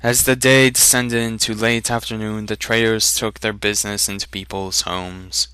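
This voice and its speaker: Guy reading a fantasy story out loud, really bright clear voice, dry close sound. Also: lowish voice, little pitch movement, normal speed, no echo. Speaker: audiobook narrator. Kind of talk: dramatic reading of a fantasy novel.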